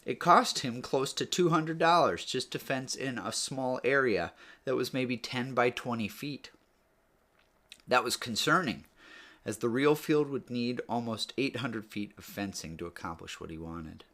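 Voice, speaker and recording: A man narrating a story in a measured read-aloud style, letting his phrases fall away at the ends. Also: medium pitch, bright, forceful tone. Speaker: author or reader narrating a book read-aloud.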